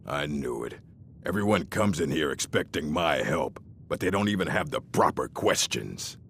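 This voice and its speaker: An actor voicing a stern, grumpy old orc librarian in a fantasy game, gruff and contemptuous, with a gravelly voice. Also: male voice, rumbling low, animated, normal speed, a bit bright, a little hiss or hum, full character voice. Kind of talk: fantasy video game voice acting.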